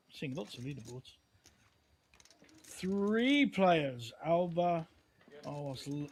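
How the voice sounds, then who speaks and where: A man's voice: a little high, darkish tone. A man giving chatty, conversational live commentary on a poker livestream.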